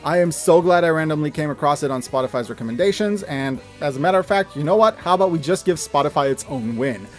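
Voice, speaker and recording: A man with a fairly high voice narrates an enthusiastic review over music. His delivery is scripted but informal, with effusive emphasis on what he recommends. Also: animated, fast, few pauses. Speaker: video reviewer.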